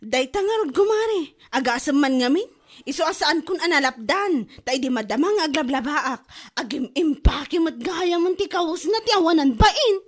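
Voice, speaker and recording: A woman's voice: high-pitched, animated, normal speed. A woman with an Ilocano accent playing a scolding, exasperated wife in a comedy drama. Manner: nagging, scolding.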